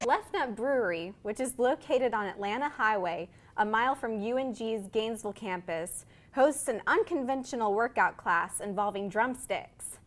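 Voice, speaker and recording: Woman anchor with a crisp, scripted read. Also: a little high, animated, moderate pace, hardly any pauses, a little hiss or hum. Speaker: news anchor. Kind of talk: news introduction.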